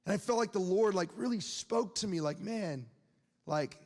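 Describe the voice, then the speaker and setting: A man's voice: sits high, moderate pace, a little mellow, in a large hall. A man in his late twenties preaching a sermon, speaking candidly and conversationally, with laughs and asides to the crowd. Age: 20s.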